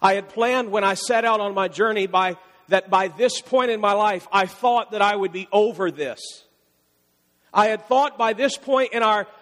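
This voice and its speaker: Male pastor, high voice, conversational sermon delivery with repetition, big reverberant sanctuary. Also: a bit bright. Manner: conversational preaching with repeated phrases and emphatic rises.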